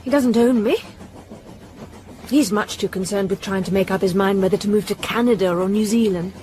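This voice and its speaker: A woman acting out a breezy young Englishwoman in a radio drama, middle-pitched, chatty and outspoken. There is faint vehicle noise behind her. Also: moderate pace, dark tone, natural voice. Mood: offhand. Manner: chatty, frank.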